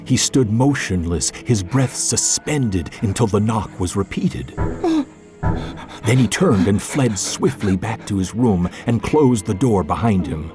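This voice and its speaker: A man's voice: lowish voice, animated, a little slow. A man narrating a classic horror story in a dramatic, suspenseful, theatrical way. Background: music.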